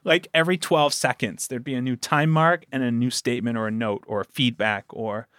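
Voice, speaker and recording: A man's voice: medium pitch, fairly even intonation, moderate pace, fairly bright tone, clean tone, no room sound, clean studio sound. A man speaking as a producer and guest in a conversational podcast interview, earnest and emphatic as he explains and recounts. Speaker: audio drama producer and guest.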